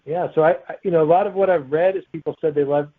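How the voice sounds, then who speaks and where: A man's voice: a little high, quick pace. A man speaking as a guest author, answering interview questions in a casual, conversational way. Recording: tinny, phone-like line.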